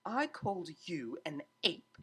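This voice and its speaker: A refined gray mare as a woman plays her for a story reading. She is prim, precise and cutting, with a bright, condescending delivery. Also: on the low side, very animated, measured pace, slightly rough, heavily acted. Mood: scornful.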